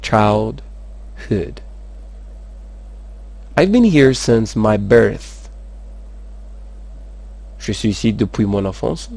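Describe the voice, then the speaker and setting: Man teaching English, low voice. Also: animated, gravelly, darkish tone. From Madagascar. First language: Malagasy. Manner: slow, careful model sentences between fast explanations. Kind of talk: language lesson, explaining in Malagasy and French.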